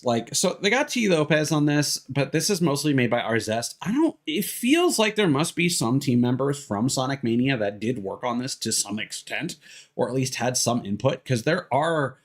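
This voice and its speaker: A man giving casual, rambling gameplay commentary as a streamer, hesitant and drifting into tangents. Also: mid-range voice. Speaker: video game streamer. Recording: music behind the voice.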